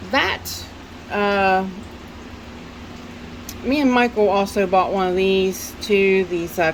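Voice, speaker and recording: A woman with a Southern US drawl gives an unhurried walk-through of her plants, pausing often with fillers and restarts. A head cold leaves her voice stuffy. An air conditioner hums steadily behind her on the noisy phone recording. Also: medium pitch. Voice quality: nasal when bending over. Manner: chatty, rambling with many fillers and restarts. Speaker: orchid grower giving a plant tour. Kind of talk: casual walk-through vlog narration.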